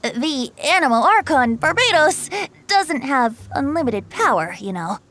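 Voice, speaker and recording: A woman's voice: high voice, very animated, a little slow, a bit bright, exaggerated voice. A woman voicing a youthful male wind god bard in a video game, with a playful, breezy, theatrical manner. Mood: playfully confiding. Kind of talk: video game character dialogue. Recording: studio voice over game music.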